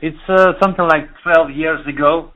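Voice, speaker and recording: A man from Russia with a Russian accent, speaking halting English as a DJ being interviewed, pausing to search for words. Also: on the high side, steady tone. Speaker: DJ and producer. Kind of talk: interview over the phone. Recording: tinny phone line.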